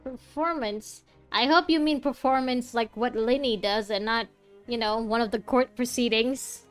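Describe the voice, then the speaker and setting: Playful woman streamer, higher-pitched voice. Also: moderate pace. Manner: playful, chatty asides to game characters. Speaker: gaming streamer. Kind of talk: casual playthrough commentary. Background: game music.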